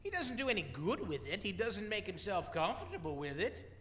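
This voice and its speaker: A man's voice: high-pitched, huge pitch swings, brisk, fairly bright tone, exaggerated voice. A man playing a good-natured, forgiving young nephew in a radio play, hearty and amused. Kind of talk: stage-like radio play acting. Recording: echoey reverberant hall.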